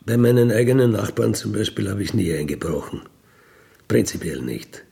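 A man's voice: fairly low pitch, animated, normal speed, creaky, mellow. A middle-aged man with an Austrian accent, speaking in a candid, conversational monologue, matter-of-fact and often checking in with short tag questions. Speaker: ex-convict burglar telling his life.

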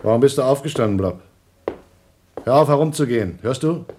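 A man's voice: mid-range voice, animated, a little fast, a little mellow, a little hiss or hum, close to an everyday voice. A man playing an anxious, principled astronaut in a radio drama, stern, reproachful and suspicious, in clipped phrases. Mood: agitated, reproachful.

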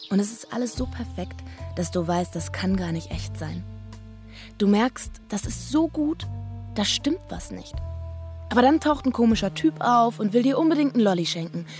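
A woman's voice: mid-range voice, lively intonation, normal speed, a little mellow, soft background music, lightly acted. An actress playing a disillusioned young woman who confides in the listener in a colloquial radio drama monologue. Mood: reflective.

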